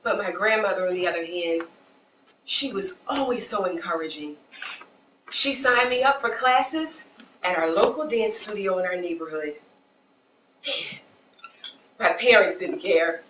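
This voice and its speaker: An actress in a stage play playing an outspoken, animated woman dancer with an emphatic, theatrical delivery. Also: on the low side, measured pace, pauses often, distant mic. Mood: excited, reminiscing. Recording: roomy, noisy stage recording from afar.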